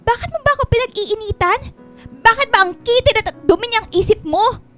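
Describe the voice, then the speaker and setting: An extremely high, indignant voice a Filipino wife working abroad. She is exasperated and defensive, scolding in radio drama style over background music. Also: animated, normal speed, fairly bright tone. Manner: indignant, scolding, firing rhetorical questions. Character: defensive, exasperated migrant-worker wife and mother.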